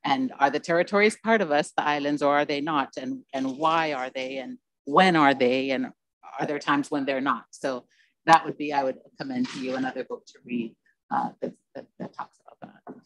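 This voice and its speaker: A woman's voice: rumbling low, normal speed, fairly bright tone, no echo, rough recording. A woman on a conference panel, talking in an earnest, meandering way into a handheld mic on stage. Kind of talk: panel discussion.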